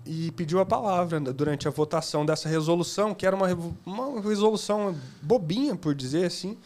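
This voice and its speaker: Podcast guest, man, animated storyteller with a higher voice. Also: fast. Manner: animated, laughing, acts out quotes. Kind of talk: casual storytelling.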